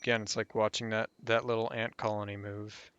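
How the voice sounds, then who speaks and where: A man's voice: lowish voice, little pitch movement, fairly quick, fairly bright tone, clean tone, highs cut off. A man talking casually over a strategy game guide while losing his voice, rambling and trailing off with fillers. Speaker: gameplay guide host.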